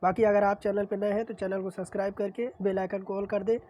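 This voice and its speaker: A woman's voice: medium pitch, little pitch movement, fast, warm tone. A woman teacher with a sore throat, steadily reading out exam questions and explaining the answers. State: sore throat, clearing throat often. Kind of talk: reading and solving practice questions.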